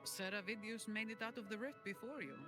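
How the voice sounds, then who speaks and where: An actor voicing a steady fighter ally in a game, plain-spoken and matter-of-fact. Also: medium pitch, fairly quick, lightly acted. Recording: game audio with music behind.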